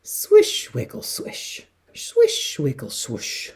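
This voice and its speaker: A woman reading a picture book to young children in an expressive, dramatic way, with hushed passages and emphatic words. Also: extremely slow. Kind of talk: expressive children's story read-aloud.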